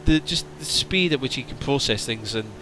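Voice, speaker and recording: A man in the middle of his pitch range talks through a relaxed livestream, answering viewers as he goes. His voice is straining and turning hoarse from fatigue. A faint hum sits under the voice. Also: moderate pace. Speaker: flight sim streamer. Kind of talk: casual livestream chat.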